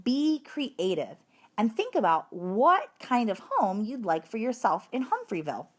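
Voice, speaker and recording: A woman's voice: fairly high pitch, sing-song, a little slow, husky, heavily acted. A woman reading aloud as an encouraging, orderly female primary school teacher in a storybook, addressing a class in an instructive way.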